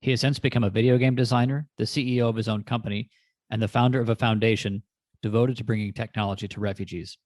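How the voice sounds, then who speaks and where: A man hosting a podcast, reading a measured intro and then chatting with a guest in a slow, measured way. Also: on the low side, steady tone, darkish tone, no echo. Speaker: podcast host. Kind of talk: scripted intro, then casual interview.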